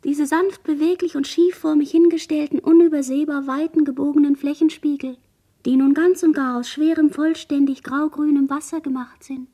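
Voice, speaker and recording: A woman's voice: high-pitched, fairly even intonation, moderate pace, warm tone. A woman playing a barely conscious shipwrecked girl in a radio play, speaking in dreamy, fearful, fragmentary poetic phrases. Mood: dreamy, drifting. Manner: fragmentary, incantatory, drifting phrases. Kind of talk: radio play monologue, poetic disjointed speech.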